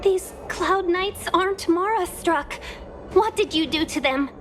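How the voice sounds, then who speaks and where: A woman's voice: very high voice, measured pace, over music. An actress voicing a spirited, impulsive young girl adventurer in a video game, urgent and girlish. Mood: alarmed.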